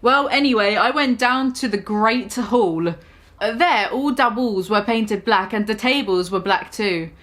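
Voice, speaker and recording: A woman's voice: mid-range voice, unhurried, a bit bright. A woman giving a mock-dramatic reading of a fan story, amused and full of sarcastic asides. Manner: amused, keeps breaking into laughter, sarcastic asides.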